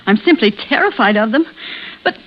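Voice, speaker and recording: An actress playing a nervous, helpless middle-aged wife in a fluttery, plaintive, hesitant way, in the style of vintage radio suspense. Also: female voice, fairly high pitch, expressive, normal speed, lightly acted. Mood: anxious, pleading. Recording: muffled, noisy old radio recording.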